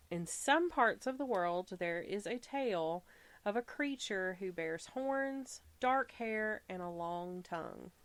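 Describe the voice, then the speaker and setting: A woman with a Southern US drawl hosts a podcast at an unhurried pace. Her voice sits at a middle pitch. She reads from her notes and slips into easygoing asides. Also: clear, resonant tone, dry recording. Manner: relaxed, chatty, stumbles and laughs at herself. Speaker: podcast host. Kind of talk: reading researched notes with casual asides.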